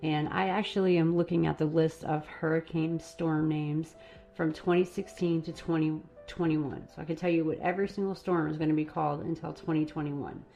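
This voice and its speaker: A woman hosting a live stream, chatting casually and rambling a little while her voice is going hoarse. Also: sits low, steady tone, normal speed, mellow, soft background music. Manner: casual, rambling, laughs at herself. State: losing her voice. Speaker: host.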